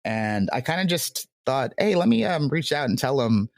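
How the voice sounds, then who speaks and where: A man's voice: mid-range voice, sing-song, normal speed, creaky, no room sound, studio quality. A man speaking as a podcast guest in a reflective interview, telling anecdotes with hedges and quoted asides. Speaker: podcast guest, audio drama creator.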